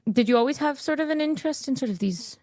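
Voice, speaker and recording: A woman's voice: high-pitched, lively intonation, quick pace, a little mellow, no echo, clean studio sound. A woman hosting an interview podcast, chatting casually and encouraging her guest with enthusiastic remarks. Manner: enthusiastic, encouraging, uses fillers. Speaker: podcast host.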